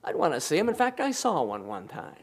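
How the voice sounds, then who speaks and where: An older man from Wisconsin preaching to his congregation, with a booming, commanding voice, telling stories and joking and laughing with the people. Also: wildly swooping pitch, brisk, gravelly, slightly rough. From Wisconsin, USA. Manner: storytelling, jokes with the congregation, laughs often. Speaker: pastor. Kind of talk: humorous sermon. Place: church hall with congregation.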